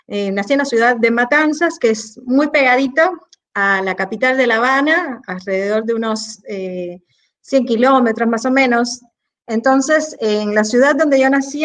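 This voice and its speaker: Cuban woman conductor on a video call, bit high voice, lots of eh pauses. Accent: Cuban Spanish. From Cuba. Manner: warm, thoughtful, pauses often with eh. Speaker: orchestra conductor, guest. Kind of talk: interview over a video call.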